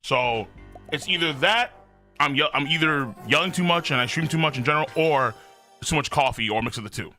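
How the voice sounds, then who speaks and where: A man hosting a livestream, chatting casually in a loud, animated, rambling way with lots of swearing and a slightly strained throat. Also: fairly high pitch, fairly quick, soft background music. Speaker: live streamer, host. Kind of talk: casual livestream chat, reading viewer messages.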